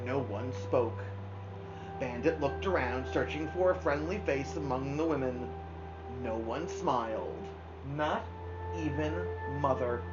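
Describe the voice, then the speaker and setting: A woman reading a children's novel aloud expressively, with dramatic pauses. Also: a bass voice. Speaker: storybook reader. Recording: dull lo-fi sound, music behind. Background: music.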